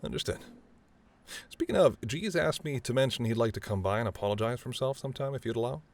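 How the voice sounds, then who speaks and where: A man's voice: medium pitch, animated, fast talker, natural voice. A man playing a charming, teasing mafia boss who is smitten, speaking intimately and playfully to the listener in a roleplay audio drama. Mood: apologetic, chatty. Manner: smooth-talking, playful, flirtatious, addresses listener as doll. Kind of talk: intimate second-person roleplay audio acting.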